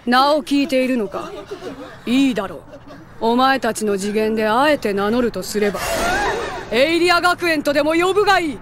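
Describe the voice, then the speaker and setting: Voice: sits high, lively intonation, normal speed, noisy background. An actor playing a haughty, menacing young alien conqueror who makes formal, pompous proclamations in an anime game. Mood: amused, scornful. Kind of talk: dramatic anime game voice acting.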